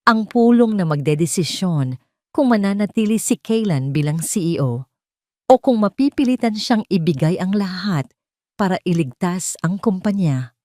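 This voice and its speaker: Filipino woman storyteller, lower-pitched voice, dry close sound, dramatic scripted narration. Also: animated, moderate pace, mellow, dry recording.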